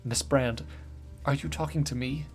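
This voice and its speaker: A man's voice: mid-range voice, steady tone, normal speed, faint music behind, lightly acted. A man reading a politely uneasy young woman. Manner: hesitant, forced politeness.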